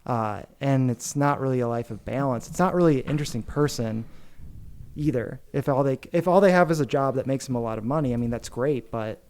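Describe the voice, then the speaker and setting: Halting, thoughtful podcast host man. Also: mid-range voice, a little mellow. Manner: halting, thoughtful and easily amused. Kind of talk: casual chat.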